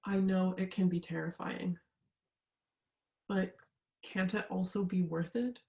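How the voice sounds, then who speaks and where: A woman's voice: fairly low pitch, mellow. A young woman playing an insistent, haunted student searching for the truth in a staged reading, earnest and full of pressing questions. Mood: pleading. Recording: roomy, echoing sound.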